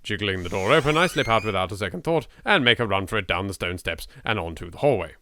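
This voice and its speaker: A man's voice: fairly low pitch, lively intonation, fast, sharp tone. A man with an English accent doing let's play commentary, reading game text dramatically and adding sweary, jittery, sardonic asides. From England. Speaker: video game let's player.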